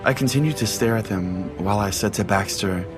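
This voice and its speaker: Guy as a brash sweary pastor telling a dramatic comedy story, lowish voice, music under it. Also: a little fast, natural voice. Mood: grim. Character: Pastor Jay, brash foul-mouthed pastor and show host. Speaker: narrator and podcast host. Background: prominent music.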